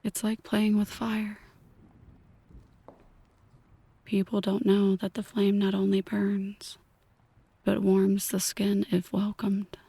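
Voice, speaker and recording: A woman playing a possessive, brooding mafia boss in an ASMR roleplay, speaking in a breathy whisper, deliberately and intimately to one listener. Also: mid-range voice, monotone, mellow, no echo, exaggerated voice. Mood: somber, seductive.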